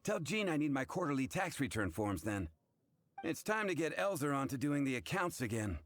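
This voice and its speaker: A man's voice: medium pitch, very animated, normal speed, lightly acted. Blunt, wry bartender, played by a man. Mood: matter-of-fact. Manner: blunt, sardonic. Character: blunt, wry male tavern bartender, adult. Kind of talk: anime video game voice acting. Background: music.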